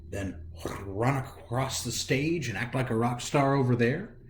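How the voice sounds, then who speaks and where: An American man hosting a storytime, reading a picture book aloud in a theatrical way and talking directly to young listeners. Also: mid-range voice. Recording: roomy, echoey indoor sound.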